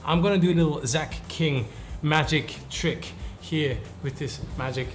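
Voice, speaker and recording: A man's voice: on the high side, unhurried, soft background music. A man in his thirties from the Netherlands, a Dutch native speaker, hosting a casual interview in a playful, teasing way. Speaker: host. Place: indoor room with wooden wall.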